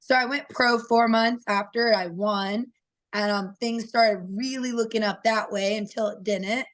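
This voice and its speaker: A woman in her thirties with a raspy voice, a fighter telling her story in an interview in a candid, earnest way with many fillers. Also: on the high side, steady tone, unhurried. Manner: open and earnest, with frequent fillers. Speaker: interview guest, professional fighter.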